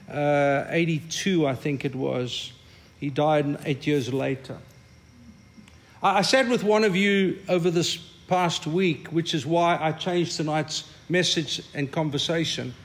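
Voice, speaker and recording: A man's voice: a little high, a little slow. An older man from South Africa with an Afrikaans accent gives a conversational, reflective sermon as pastor and often repeats words as he starts a thought.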